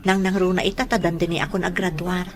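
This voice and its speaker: A woman's voice: on the low side, little pitch movement, fast, lightly acted. A woman with an Ilocano Filipino accent acting a grateful, earnest young student in a radio drama. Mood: modest, doubtful. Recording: music bed under voice. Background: background music.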